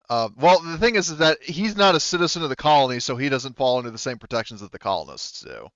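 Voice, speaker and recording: A man improvising as game master of a tabletop roleplaying game, halting as he searches for words and swearing casually. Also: mid-range voice, very animated, fairly bright tone, no echo, muffled recording. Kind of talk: improvised narration of a tabletop roleplaying game, relaying characters' replies.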